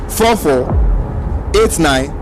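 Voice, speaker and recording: A man's voice: a little high, lively intonation, takes it slowly, music in the background. A man with a Nigerian accent acting a matey, streetwise bribe-taker in a radio drama. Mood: matey, eager.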